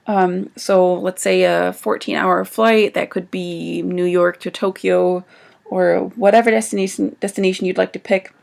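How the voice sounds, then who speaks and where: A woman's voice: lowish voice, vocal fry. A woman doctor who is getting over a cold gives an informal talk on survival medicine, with frequent ums as she explains. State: recovering from a cold. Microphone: livestream setup.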